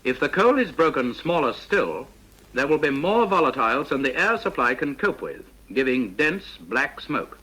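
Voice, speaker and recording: A British man reading a scripted instructional narration for a vintage training film, in a precise, measured, didactic manner. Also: medium pitch, big pitch swings, bright, forceful tone, slightly rough, poor audio.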